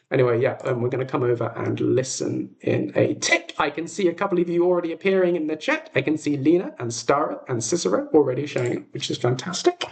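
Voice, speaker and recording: A man chatting casually on a livestream as its host, rambling and self-deprecating, in an echoey untreated room. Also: on the high side. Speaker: livestream host and audio drama creator. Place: echoey room at home, makeshift streaming setup.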